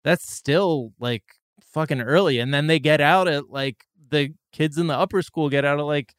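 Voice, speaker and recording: A man's voice: fairly high pitch, normal speed, a bit bright, clear, resonant tone, no room sound, studio quality. A man in his forties hosting a casual podcast chat, rambling and swearing freely with lots of "like"s. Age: 40s. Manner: loose, profane, rambling with many "like"s. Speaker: podcast host.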